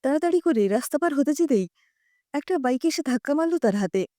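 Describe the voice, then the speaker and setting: A woman narrating a scripted short story in an expressive storytelling style. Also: high-pitched, a little fast, no echo, studio quality.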